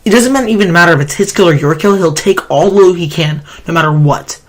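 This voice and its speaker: A man's voice: on the high side, quick pace, background noise. A man with a sore throat and a nasal voice, rambling through a gaming countdown as a YouTuber, often correcting himself mid-sentence. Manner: rambling, self-correcting, complaining. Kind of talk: casual countdown commentary.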